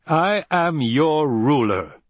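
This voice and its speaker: A man's voice: mid-range voice, very animated, unhurried, slightly rough, dry recording, pitched above the performer's own voice. A man playing an older emperor, haughty and indignant, with a grating, pompous, blustering delivery. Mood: stern.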